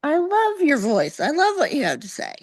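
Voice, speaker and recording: A soft-spoken American woman co-hosting a podcast, chatting gently and agreeably. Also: high-pitched, huge pitch swings, moderate pace. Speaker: podcast co-host. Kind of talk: casual chat.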